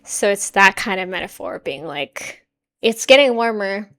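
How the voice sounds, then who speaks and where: Soft-spoken woman musing aloud. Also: mid-range voice, lively intonation, measured pace, loud music behind the voice. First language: Swedish. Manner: musing, hedging, soft-spoken with frequent quiet trailing asides. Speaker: reaction video host. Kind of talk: casual reaction commentary.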